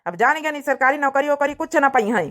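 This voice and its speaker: Cartoon brother , voiced by a woman: Awadhi accent, high pitch, protective, hot-tempered, bluntly scolding. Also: lively intonation, fast talker, a bit bright. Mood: scornful. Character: angry, protective brother of a married sister. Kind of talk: cartoon family drama dialogue.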